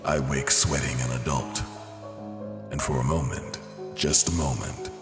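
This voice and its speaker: A man voicing a somber, haunted psychiatrist narrating his journal for a comic-book drama, measured and brooding, pausing between phrases. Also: extremely low pitch. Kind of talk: dramatic first-person scripted narration. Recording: reverberant echo under music.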